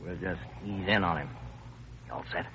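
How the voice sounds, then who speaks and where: An actor playing a brisk, questioning American police detective in an old-time radio drama. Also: male voice, sits low. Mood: hushed. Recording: muffled, noisy old radio recording.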